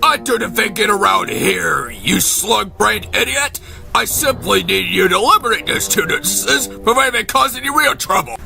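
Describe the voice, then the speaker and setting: A pompous game villain, put on by a man scolds with imperious scorn over music. The voice is sharp and forceful, irritable and theatrical, as heard in a fan dub. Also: high-pitched, lively intonation, moderate pace, creaky, heavily acted. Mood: imperious anger. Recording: music behind the voice.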